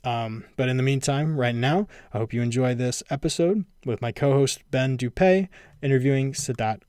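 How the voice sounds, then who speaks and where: A man's voice: mid-range voice, normal speed, a little mellow. A man hosting a podcast, giving a casual, rambling intro with lots of fillers. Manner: casual, many fillers and restarts. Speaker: podcast host. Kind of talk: solo intro to a recorded interview.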